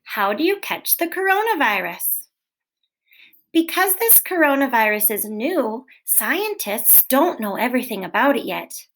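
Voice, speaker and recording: A woman teacher reading a children's book aloud in a gentle, clear, expressive way, posing questions to young listeners. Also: on the high side, unhurried. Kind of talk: read-aloud storytelling for children.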